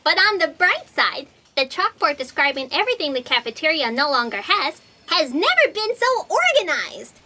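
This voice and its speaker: A woman's voice: high voice, big pitch swings, normal speed, few pauses, bright, forceful tone, heavily acted. A woman playing a naive, eager and hapless cafeteria underling in a comic audio drama. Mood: perky, flustered. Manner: eager, guileless.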